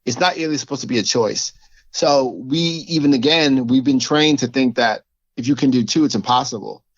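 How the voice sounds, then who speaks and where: A man's voice: medium pitch, a little fast, breathy edge, no room sound, muffled recording. A man, an author and teacher, talking thoughtfully in a casual conversation, often restarting his sentences.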